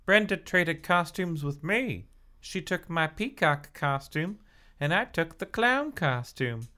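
A woman's voice: fairly low pitch, expressive, slow, pushed and bright, pitched above the performer's own voice, heavily acted. A woman voicing a suspicious, accusing teenage girl from a thriller story, insistent and melodramatic. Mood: suspicious accusation.

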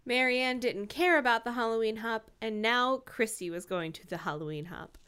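A woman's voice: on the high side, normal speed, sharp tone, clean tone. A woman co-hosting a podcast, reading a novel aloud and adding wry, irreverent asides. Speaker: podcast co-host.

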